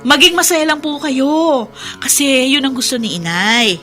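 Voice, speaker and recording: An actress playing a tender, dutiful grown daughter in a Filipino radio drama, with an earnest, emotional delivery. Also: female voice, sits high, big pitch swings, moderate pace, sharp tone, exaggerated voice. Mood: reassuring. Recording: music bed behind the voice.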